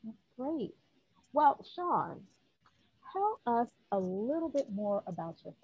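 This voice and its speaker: A woman's voice: a little high, very animated, slow pace, husky, no echo, lo-fi sound. A woman hosting a podcast interview, introducing her guest and asking him questions. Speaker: podcast host.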